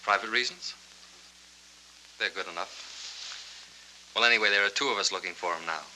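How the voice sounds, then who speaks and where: An actor playing a genial, composed club owner in a 1940s film noir, on a noisy old soundtrack. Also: male voice, fairly low pitch, moderate pace, bright, forceful tone. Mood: cool, businesslike.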